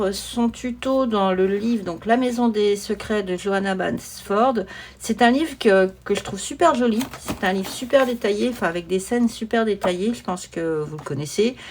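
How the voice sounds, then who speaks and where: A woman vlogger talks in a casual, easygoing way at a medium pitch. She sounds informal and unhurried, as if chatting at her desk. The home recording carries some faint noise. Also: a little mellow. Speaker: hobby vlogger presenting her coloring projects. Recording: noisy home recording with clicks.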